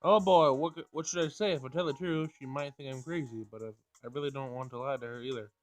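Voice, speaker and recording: A man's voice: medium pitch, quick pace. A man hosting a gameplay recording, reading a visual novel aloud and adding casual commentary of his own. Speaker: gameplay host. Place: home screen recording. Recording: music behind the voice.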